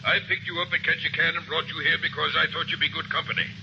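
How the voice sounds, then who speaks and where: A pompous, jovial middle-aged hunter, blustering with a gravelly, slightly high voice. Heard through a noisy old radio recording. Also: male voice, little pitch movement, quick pace, dull audio. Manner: blustering, then pleading.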